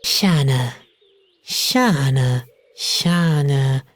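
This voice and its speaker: An actor playing a gloomy, self-deprecating young clown in a video game, with a mopey, sarcastic manner. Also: male voice, mid-range voice, very slow, no room sound. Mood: curious, hopeful.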